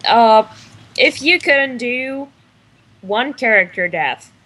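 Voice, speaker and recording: A woman with a slightly high voice, joining a casual online radio chat. She keeps asking the others questions. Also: lively intonation, slow, a bit bright, poor audio. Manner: inquisitive, asks questions. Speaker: guest. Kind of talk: casual fan discussion.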